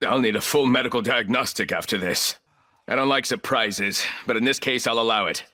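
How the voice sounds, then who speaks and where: An actor playing an arrogant, ruthless, calculating corporate villain in a video game, speaking in clipped, condescending lines. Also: male voice, medium pitch, brisk, sharp tone. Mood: dry, disdainful.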